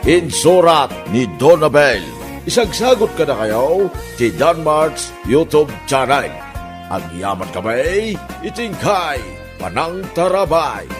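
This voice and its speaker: Guy announcing a radio drama, slow measured delivery, guitar music behind him. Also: fairly high pitch, big pitch swings. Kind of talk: scripted story introduction in Ilocano radio drama.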